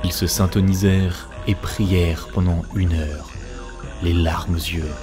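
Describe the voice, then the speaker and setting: A man's voice: low-pitched, fairly even intonation, a little slow. A man narrating a scripted story for a cartoon, as a storyteller. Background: music.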